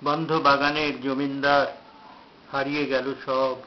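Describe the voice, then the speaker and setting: A man reciting his own poem in a measured, declamatory way, with pauses between phrases. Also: medium pitch, level tone. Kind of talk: poetry recitation. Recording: dull lo-fi, faint background noise.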